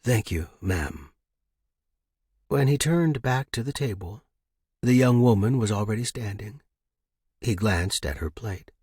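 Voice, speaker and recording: Male audiobook narrator, mid-pitched and a little rough-edged, reading scripted fiction. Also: normal speed, a little mellow, dry recording, studio quality.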